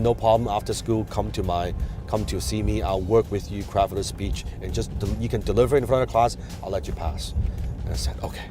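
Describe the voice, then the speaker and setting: A man from Hong Kong whose first language is Chinese, hosting a casual advice talk and telling his story with many fillers and restarts. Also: sits low. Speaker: host. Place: in a car.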